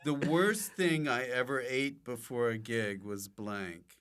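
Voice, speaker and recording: A man's voice: mid-range voice, slow, a bit bright, clean tone, faint music behind. A man hosting a casual comedy interview as a podcast host.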